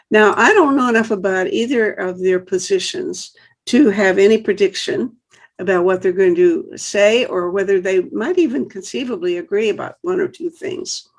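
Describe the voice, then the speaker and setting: An older woman hosts a casual interview over a video call from a home room, chatty and friendly, sometimes laughing lightly mid-sentence. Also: medium pitch. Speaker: host. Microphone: webcam on a video call.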